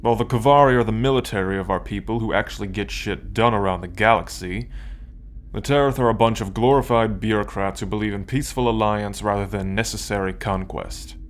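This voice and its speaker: A man's voice: fairly low pitch, lightly acted. A man playing an arrogant, possessive alien military conqueror in an ASMR roleplay, commanding and condescending as he addresses the listener. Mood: proud, explanatory. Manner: commanding, condescending, slow deliberate delivery.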